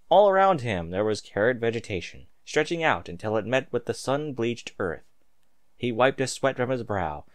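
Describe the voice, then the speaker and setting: A man reading a story aloud in a theatrical, emphatic amateur narrating style. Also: mid-range voice.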